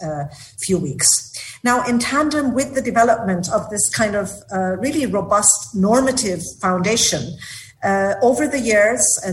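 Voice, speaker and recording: A woman director speaks at a formal meeting in a measured way, pausing often on small fillers. Her pitch sits in the middle range. Faint noise hums under her voice. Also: poor audio. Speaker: department director.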